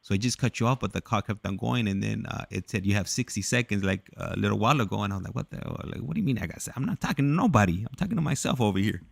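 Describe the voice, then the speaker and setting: Man, livestream host, deep voice, dry close sound, casual halting talk. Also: lively intonation, creaky, no echo. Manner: halting, repetitive, loses his thread. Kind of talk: casual rambling livestream chat.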